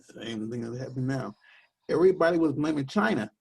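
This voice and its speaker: A young man with a deep voice in casual podcast chat, rambling and searching for words with many fillers. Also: animated, brisk, vocal fry, a little mellow, slightly rough.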